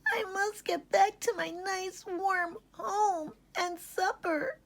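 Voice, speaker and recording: A woman voicing a timid, earnest little male puppy in a storybook, with a small squeaky, plaintive voice. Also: steady tone, slow, creaky, full character voice. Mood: weary, determined. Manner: whimpering, earnest.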